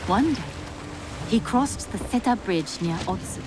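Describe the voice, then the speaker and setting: An actress playing a gracious, composed Japanese noblewoman and widow in a video game, speaking formally and with measured care. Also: mid-range voice, expressive, background noise, lightly acted. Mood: earnest storytelling. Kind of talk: video game cutscene acting. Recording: loud music behind the voice. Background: music.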